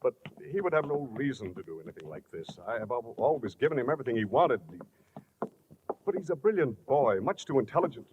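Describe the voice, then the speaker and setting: An actor playing a grave, shaken middle-aged father, halting and subdued, in 1950s radio drama style. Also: medium pitch, very animated, close to an everyday voice. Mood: disbelieving. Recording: muffled, noisy vintage radio recording.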